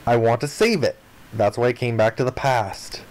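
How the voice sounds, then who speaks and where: A man narrates the text of a visual novel for a playthrough. His voice sits at an average pitch. He reads the story aloud in a dramatic, first-person storytelling style. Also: moderate pace, rough recording. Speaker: let's player reading a visual novel aloud.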